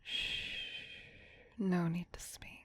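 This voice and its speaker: A woman voicing a tender, possessive vampire queen in a soft, breathy whisper, speaking intimately as ASMR roleplay. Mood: soothing, loving. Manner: slow, intimate, doting. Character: tender, possessive vampire queen soothing her beloved pet.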